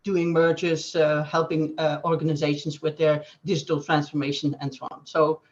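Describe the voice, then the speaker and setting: A woman from Belgium with a Flemish accent, explaining things as an interview guest in halting phrases with frequent pauses. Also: deep voice, flat delivery. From Belgium, Flanders. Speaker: guest digital twin consultant. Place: online webcast. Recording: dull, band-limited web call audio.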